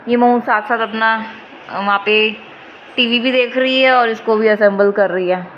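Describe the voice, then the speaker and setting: A woman in her thirties with a slightly hoarse voice, chatting casually in a home vlog. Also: fairly high pitch, steady tone, moderate pace, lo-fi sound. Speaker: home vlogger and mother. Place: home, rooftop lawn and indoor rooms. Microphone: phone at arm's length or nearby. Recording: phone recording with background noise.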